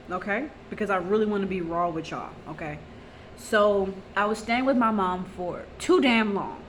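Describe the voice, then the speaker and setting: A woman's voice: mid-range voice, fairly bright tone. Twenty-something woman venting straight into her vlog, raw and blunt, lots of um and you know, faint noise in the background. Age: 20s. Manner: blunt and raw, vents with frequent fillers and repeats. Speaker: vlogger. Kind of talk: personal confessional vlog.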